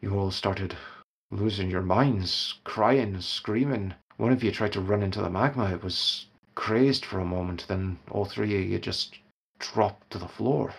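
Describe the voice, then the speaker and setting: A man voicing a solemn male warrior companion in a tabletop game, recounting events in a measured, sombre way. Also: lowish voice, gravelly, slightly rough, heavily acted.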